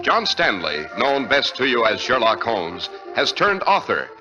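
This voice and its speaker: A man's voice: mid-range voice, huge pitch swings, unhurried. A man announcing in polished, projecting 1940s radio style. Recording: dull old radio broadcast, music behind. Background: loud music.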